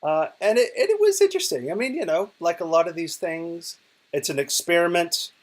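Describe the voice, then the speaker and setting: A man's voice: on the high side, fairly quick. Ill American man talking from bed. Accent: American, Oklahoma. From Oklahoma, United States. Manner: relaxed, chatty, many fillers and restarts. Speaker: digital poet and professor. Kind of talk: casual recorded video talk. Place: bedroom, lying in bed. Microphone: self-recorded video.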